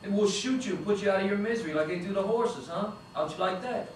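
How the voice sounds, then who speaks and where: A man's voice: high-pitched, fast talker, close to an everyday voice. A man reading a cocky wisecracking cop. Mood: taunting. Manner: joking, swaggering banter. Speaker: actor in a table read. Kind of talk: screenplay table read. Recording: echoey room, distant mic, background noise.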